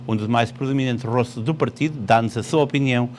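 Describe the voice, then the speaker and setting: A man's voice: medium pitch, fairly quick, a little mellow, a little hiss or hum. A man reporting election news and putting questions to politicians in a plain, informative way.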